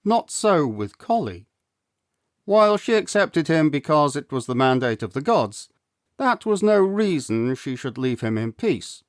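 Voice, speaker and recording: A man narrating a classic novel as a storyteller, with measured, deliberate phrasing and dramatic pauses. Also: medium pitch, smooth, no room sound, clean studio sound. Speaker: storyteller, audiobook reader.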